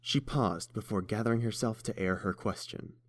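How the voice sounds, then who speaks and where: A man serves as narrator for a scripted story. His voice is on the low side and recorded very dry, with no room sound. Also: normal speed, no echo.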